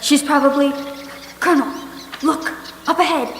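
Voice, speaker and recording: A woman's voice: high-pitched, steady tone, moderate pace, fairly bright tone. Alert female serial detective played by a woman, old radio acting, very echoey, faint noise. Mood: tense, wary.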